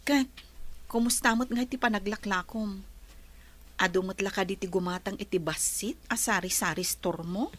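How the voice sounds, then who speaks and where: A woman's voice: mid-range voice, normal speed, fairly bright tone, lightly acted. A woman playing a weary, overworked employee confiding in a relative in a radio drama. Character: overworked, weary female office worker.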